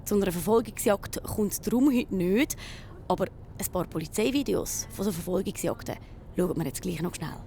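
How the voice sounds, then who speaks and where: A woman's voice: medium pitch, animated, normal speed, vocal fry, dark tone, soft background music. A woman with a Swiss German accent presenting a children's reportage in an informal, chatty and colloquial way. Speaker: host and reporter of a children's show. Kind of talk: casual children's reportage and voice-over.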